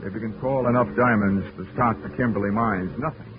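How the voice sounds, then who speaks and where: A man's voice: on the low side, brisk, noisy background, natural voice. Tinny old-radio hard-boiled private eye played by a man. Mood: dry narration. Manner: sardonic, wisecracking. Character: wry, hard-boiled male private investigator. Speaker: narrating lead of a detective radio serial. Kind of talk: 1940s radio acting. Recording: tinny, band-limited 1940s radio recording with music.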